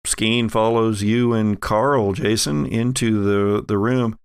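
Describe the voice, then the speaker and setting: A man narrating a horror tabletop game as game master, halting and pausing mid-phrase as he improvises the scene. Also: lowish voice, steady tone, clear, resonant tone, dry recording.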